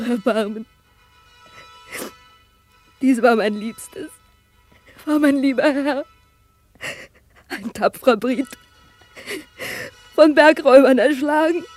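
A woman playing a grieving, devoted young page in a radio drama, mournful and deliberate. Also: high voice, darkish tone. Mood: grief-stricken. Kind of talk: classic radio drama acting.

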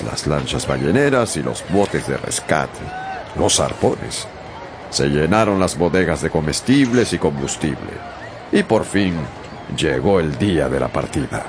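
Very deep-voiced sailor narrator. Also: male voice, noisy background, heavily acted. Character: young sailor narrating his own sea adventure. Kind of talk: dramatized first-person storytelling. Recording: music bed behind narration.

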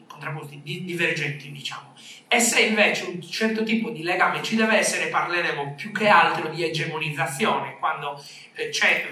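Man, forceful bright tone, hesitant conference presentation, far from the mic, heavy room echo. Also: fairly high pitch. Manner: halting, hesitant delivery with repeated words. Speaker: conference speaker. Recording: very echoey room, distant microphone.

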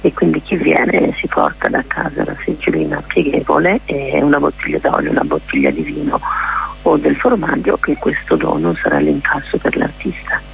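A woman with a husky, gravelly voice, explaining things in an informal radio interview with hesitant, drawn-out words. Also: sits low, lively intonation, soft background music, poor audio. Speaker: festival organiser and performer, interview guest.

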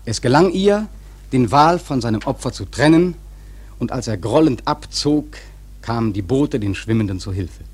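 Husky guy narrating a radio play, measured dramatic storytelling, medium pitch. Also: lively intonation, darkish tone.

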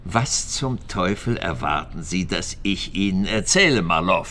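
An actor playing a guarded, dismissive high-ranking Soviet official in a German radio crime drama, evasive and deliberate. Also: male voice, animated, sharp tone, heavily acted.

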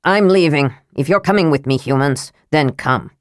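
A woman voicing a male knight possessed into a haughty dwarf prince, stern, impatient and commanding in a lowered voice. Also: moderate pace, dry recording, studio quality, natural voice.

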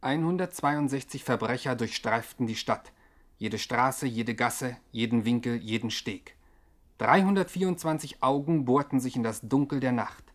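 A man's voice: mid-range voice, moderate pace. A man narrates a 1960s radio crime drama. His mid-range voice is emphatic and mock-dramatic, reading scripted lines with pointed weight.

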